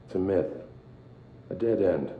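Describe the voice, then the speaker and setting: Echoey, muffled, very deep-voiced guarded scientist. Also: male voice, expressive, measured pace. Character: trapped male research scientist, guarded and eerily detached. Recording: muffled, echoey, noisy intercom with rumble.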